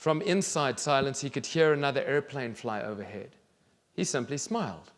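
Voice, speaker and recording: A man in his thirties reads a children's story aloud on stage in a deliberate, expressive way with pauses. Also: mid-range voice. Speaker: children's author reading aloud. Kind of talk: storybook reading to an audience. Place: large theatre stage, indoors. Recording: reverberant theatre hall.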